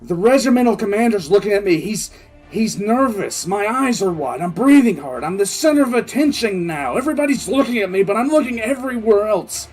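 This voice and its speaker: An actor playing a frantic, bitter military commissar in an audio drama, telling his story in halting, intense first person. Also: high-pitched, sing-song, a little mellow, soft background music. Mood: urgent, panicked. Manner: halting, intense, repeats words. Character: male military commissar, wounded, frantic, bitter survivor recounting disaster.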